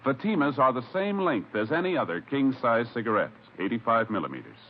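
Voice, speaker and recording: A man's voice: mid-range voice, sing-song, fairly quick. A man reading a 1950s radio commercial as an announcer, in an emphatic, salesmanlike manner. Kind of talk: 1950s radio cigarette ad read. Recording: dull vintage radio recording.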